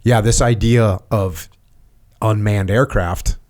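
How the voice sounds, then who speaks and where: Casting note: male podcast host, middle-aged, low voice, blunt and direct, conversational storyteller. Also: steady tone, slow pace, darkish tone. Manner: blunt, probing questions and plain storytelling. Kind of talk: casual conversational interview.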